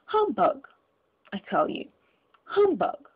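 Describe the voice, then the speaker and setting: A woman's voice: high voice, huge pitch swings, slow, husky, pitched above the performer's own voice, full character voice. A woman voicing a frightened, stubborn old miser in a storybook, with agitated, exclaiming speech. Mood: defiant. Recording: thin, phone-like lo-fi audio.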